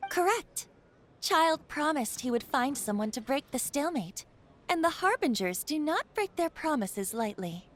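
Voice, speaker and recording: High-voiced, formal agency clerk. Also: female voice, very animated. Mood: formal, knowing. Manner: formal, measured, polite. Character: poised female clerk of a shadowy organisation, formal, cryptic. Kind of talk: scripted game dialogue.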